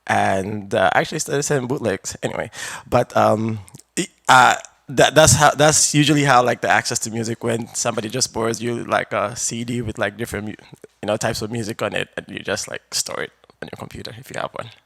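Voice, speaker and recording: A young adult rapper from Zambia, giving a relaxed, rambling radio interview full of fillers and restarts. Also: mid-range voice, fairly quick, vocal fry, no room sound. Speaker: rapper and producer, interview guest.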